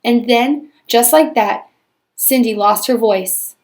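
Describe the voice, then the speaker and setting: Storybook stepmother, read by a woman: snobbish, self-pitying, theatrically put-upon, high-pitched. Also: slow, darkish tone. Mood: exasperated.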